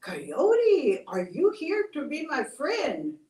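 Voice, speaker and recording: A woman voicing a lonely male cactus who pleads in a high, tearful voice for a friend. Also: huge pitch swings, takes it slowly, dark tone, echoey room, far from the mic, heavily acted. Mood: pleading.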